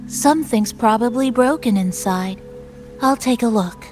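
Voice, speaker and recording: An actress as a helpful, tech-savvy young woman in a game. Her voice sits fairly high and moves slowly, measured and sure. Faint music plays behind her. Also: darkish tone, natural voice. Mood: focused. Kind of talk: video game dialogue.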